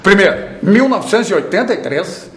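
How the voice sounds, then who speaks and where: A man's voice: high voice, expressive, a little fast, a bit bright, echoey room. A man in his sixties, a veteran sports radio announcer, recalling his career with emphatic pride. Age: 60s. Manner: proud, emphatic storytelling. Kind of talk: interview recollection.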